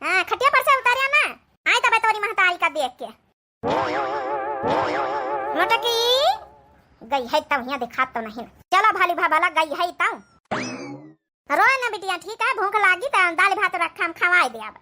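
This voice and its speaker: Casting: woman as nagging Awadhi mother-in-law for comic cartoon, older, sarcastic, very high and piercing. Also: animated, moderate pace, long pauses, bright, forceful tone, soft background music, heavily acted. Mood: grumbling. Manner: scolding, grumbling, repetitive.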